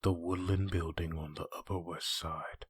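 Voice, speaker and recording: A hushed narrator voiced by a man for a comic dub. He is deep and husky, giving scripted captions in a flat monotone. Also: normal speed, no room sound, studio quality.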